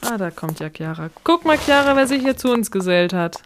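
A woman's voice: on the high side, lively intonation, brisk, slight background noise. A woman co-hosting a podcast, speaking scripted introductions and playful audio play dialogue. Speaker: podcast co-host. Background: music.

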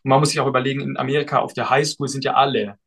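A young man, a guest on a podcast, answering questions in a relaxed and thoughtful way, with frequent fillers. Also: medium pitch, rapid-fire, gravelly, slightly rough. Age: young adult. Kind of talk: casual podcast interview.

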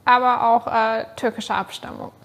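A woman in her thirties from Hamburg, introducing herself in an interview with halting fillers. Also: on the high side, fairly even intonation. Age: 30s. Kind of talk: casual interview answer.

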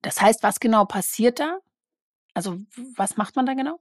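A woman's voice: a little high, expressive, moderate pace, no echo, clean studio sound. A woman who grew up bilingual in German and Portuguese, hosting an interview and asking curious follow-up questions. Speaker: podcast host. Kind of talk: interview conversation.

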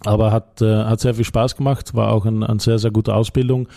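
A man's voice: on the low side, flat delivery, brisk, vocal fry, mellow. A middle-aged man from the Netherlands with a Dutch accent, answering interview questions conversationally and searching for words in his second language. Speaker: interview guest, company founder.

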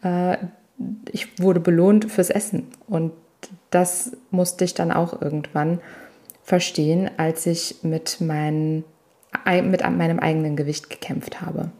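A woman with a fairly low, soft-edged, mellow voice hosts a solo explanatory podcast. She speaks as a coach, addressing listeners directly and instructively, leaning on the key words. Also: fairly even intonation, slow pace. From Italy. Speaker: weight-loss coach and podcast host.

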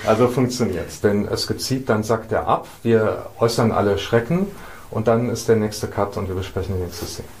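A man's voice: on the low side, normal speed, a little hiss or hum. A man directing a group through an improvised recording session, giving practical instructions. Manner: gives practical instructions, lively. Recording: roomy room recording with group around.

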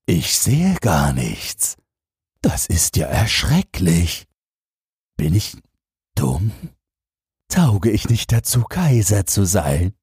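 Man playing a slow, husky, pompous emperor. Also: deep voice, wildly swooping pitch, darkish tone, no echo, clean studio sound, pitched above the performer's own voice, heavily acted. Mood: worried. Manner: pompous, drawn-out. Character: vain, insecure emperor, pompous but secretly worried. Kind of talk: fairy-tale audiobook character voice.

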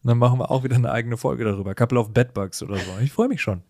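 Male podcast co-host, medium pitch, playful explainer, conversational, very dry studio-like sound. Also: racing, darkish tone, dry recording. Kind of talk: casual podcast chat.

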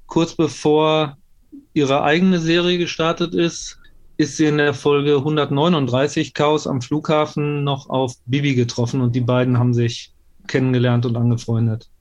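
Slightly high-voiced man reading facts. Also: flat delivery, moderate pace, muffled recording. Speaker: podcast's expert presenting background facts. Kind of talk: scripted narration.

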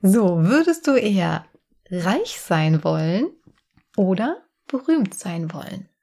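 A woman's voice: medium pitch, sing-song, takes it slowly, creaky, mellow. Giggly, teasing young woman. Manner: giggly, teasing, playfully mock-formal. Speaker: podcast co-host. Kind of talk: casual chat.

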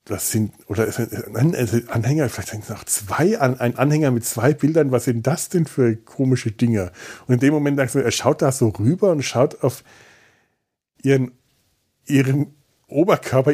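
Husky, mellow man rambling casually. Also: medium pitch. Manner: hesitant, thinking aloud. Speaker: podcast host. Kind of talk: casual rambling solo monologue. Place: indoors on a sofa.

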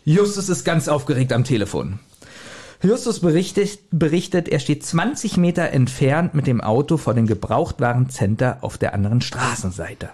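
A man hosting a casual podcast, retelling a story scene by scene in a halting, chuckling manner. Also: medium pitch, lively intonation, a little mellow, breathy edge. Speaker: podcast host retelling an audio drama. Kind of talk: casual scene-by-scene recap among friends.

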